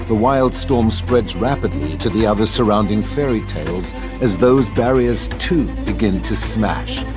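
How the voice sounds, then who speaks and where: A man narrating a scripted drama, reading stage directions. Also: lowish voice. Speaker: narrator of a script table read.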